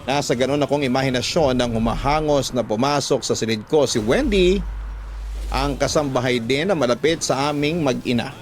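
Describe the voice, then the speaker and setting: A man's voice: mid-range voice, moderate pace, slight background noise. A man with a Filipino accent reading a first-person story as scripted, confiding radio drama narration. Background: background music.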